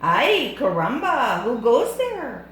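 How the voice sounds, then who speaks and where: A woman voicing a playful young male kitten with a put-on Spanish accent, bouncy and loud. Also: a little high, very animated, takes it slowly, a little hiss or hum, voice pushed up. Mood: bold. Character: playful young kitten who thinks he is a Chihuahua. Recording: echoey room.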